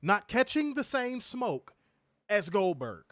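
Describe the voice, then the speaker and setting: A man with a high voice that swings widely in pitch, ranting and joking about a wrestling show. His talk is loose and profane. He sounds close and dry. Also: slow, no echo. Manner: animated, laughing often, mocking. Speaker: co-host of a wrestling review show. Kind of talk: casual profane chat.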